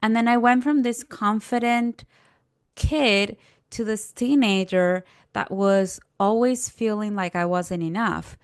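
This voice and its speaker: A woman's voice: mid-range voice, takes it slowly, clean tone, no room sound, clean studio sound. A woman from Peru with a Peruvian Spanish accent, telling personal stories in a podcast conversation and acting out little quotes as she goes. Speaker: podcast guest, career coach.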